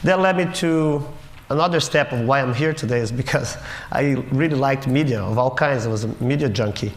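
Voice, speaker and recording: Wry man in a roomy hall. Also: medium pitch. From Brazil. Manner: wry, self-mocking, halting with restarts. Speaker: conference speaker. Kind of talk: humorous storytelling talk. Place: conference auditorium stage. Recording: roomy hall with faint noise.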